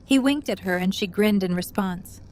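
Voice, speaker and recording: A woman's voice: mid-range voice, normal speed, a little mellow, a little hiss or hum. A woman narrator reading scripted third-person story narration for an audio drama.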